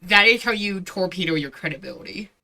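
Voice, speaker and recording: A woman's voice: lowish voice, animated, fairly quick, creaky, noisy background. A woman streamer giving casual reaction commentary, giggly and sarcastic, with blunt swearing.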